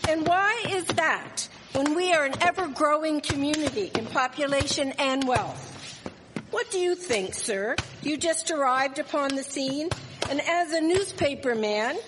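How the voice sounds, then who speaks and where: A woman's voice: high voice, expressive, unhurried. A woman playing an outspoken, feisty townswoman in a live radio drama. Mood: indignant. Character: outspoken, feisty townswoman watching and debating street fights. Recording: echoey hall, background noise and clicks.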